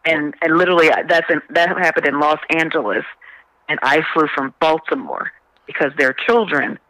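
Bright, forceful man speaking earnestly. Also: fairly high pitch, moderate pace, dry recording, rough recording. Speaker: radio show guest, stage play promoter. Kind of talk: conversational radio interview talk.

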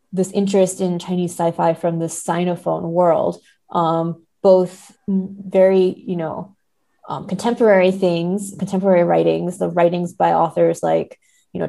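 A woman with a fairly low, gravelly-edged voice discusses books as a guest in conversation. Her tone is dark and relaxed, and she reasons aloud, qualifying what she says as she goes. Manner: hesitant, hedging, thinking aloud, seeking agreement with "right?". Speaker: podcast guest, literature academic.

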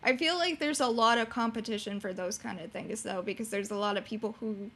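A young woman with a fairly high, youthful voice chats casually as an interview guest. Her manner is bubbly and giggly, and she talks about her work in an informal, conversational way. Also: fairly quick, fairly bright tone, slight background noise. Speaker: podcast guest, streamer and beginning voice actor.

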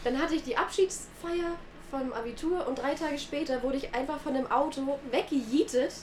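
Lively nineteen-year-old woman, echoey room. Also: high-pitched, moderate pace. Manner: lively, animated storyteller who laughs at herself. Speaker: podcast co-host. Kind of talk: casual chat, storytelling. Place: sitting on a church pew. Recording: echoey room with clear background noise.

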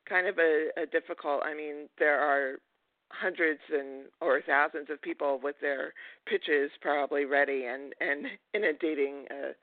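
Man with a higher voice on a dry phone line. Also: steady tone, normal speed, no room sound. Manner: chatty, laughs between remarks. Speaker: podcast host. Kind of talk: casual interview questions.

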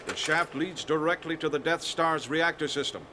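A man playing a commanding rebel general in a radio drama, briefing pilots in a formal, deliberate, emphatic way. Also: fairly high pitch, background noise, lightly acted. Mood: firm, instructive.

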